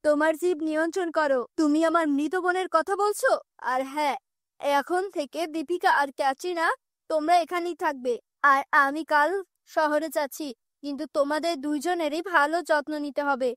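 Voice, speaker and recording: A woman's voice: high-pitched, steady tone, normal speed, fairly bright tone, no room sound, clean studio sound. A woman voicing a kind, protective uncle with an earnest, firm manner in a children's cartoon story.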